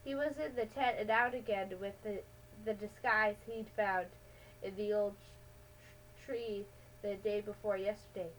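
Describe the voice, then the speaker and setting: A woman giving an amateur dramatic reading of a children's adventure story, halting and correcting herself now and then. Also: medium pitch, steady tone, slight background noise, rough recording. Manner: halting, with stumbles and self-corrections.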